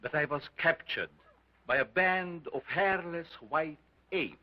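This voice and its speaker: A man playing a grandiose carnival showman and self-styled scientist, speaking in a booming, theatrical way. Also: measured pace, dull audio, close to an everyday voice. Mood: solemn. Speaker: sideshow doctor presenting an ape.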